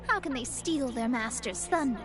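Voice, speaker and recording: A woman's voice: sits high, expressive, normal speed. A woman voicing a proud, haughty young astrologer who lectures others in a lofty way. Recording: game music in background.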